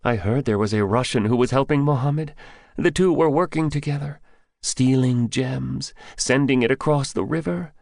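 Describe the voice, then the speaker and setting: A man's voice: medium pitch, moderate pace, creaky, a little mellow, dry recording, clean studio sound, pitched above the performer's own voice, lightly acted. A man voicing a frightened young male village storekeeper in an audiobook, anxious and pleading. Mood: bitter. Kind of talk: audiobook character voice.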